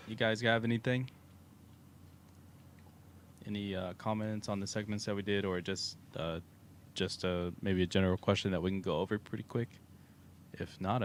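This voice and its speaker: A man with a Texan accent co-hosting a livestream, talking in a relaxed, rambling way with many fillers. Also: deep voice, normal speed, long pauses. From Texas. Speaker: co-host of a crypto livestream. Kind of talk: casual livestream chat. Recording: faint background noise.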